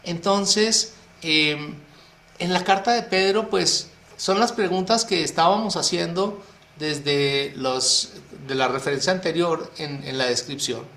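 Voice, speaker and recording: A man's voice: on the high side, slow pace, sharp tone, reverberant. A man teaching an astrology workshop to a small group in a casual spoken style, explaining step by step and asking many rhetorical questions. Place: online talk for a private group.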